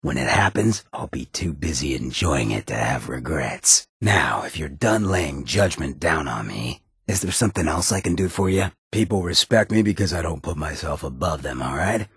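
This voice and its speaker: An actor playing a roguish, irreverent ghoul in a video game, with a raspy American voice and a wry, cocky, streetwise drawl. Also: male voice, a bass voice, expressive, normal speed, few pauses, fairly bright tone, dry recording, clean studio sound, exaggerated voice. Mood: defiant, unrepentant. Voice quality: raspy, gravelly. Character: roguish drug-loving irradiated ghoul, swaggering, irreverent, loyal. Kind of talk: video game companion dialogue.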